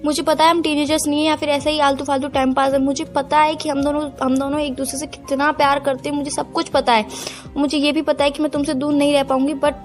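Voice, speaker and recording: A woman's voice: high voice, flat delivery, fast, soft background music, close to an everyday voice. A young woman playing an earnest, firm girlfriend who is pained and torn, in an audio call drama. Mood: sad, resolute. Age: young adult. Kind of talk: acted phone-call drama in conversational Hindi with some English.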